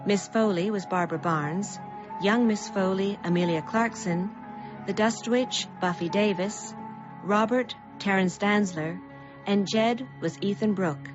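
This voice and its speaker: A woman announcer delivers scripted radio credits at a medium pitch. Music plays clearly behind her, and the recording is a little dull. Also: unhurried.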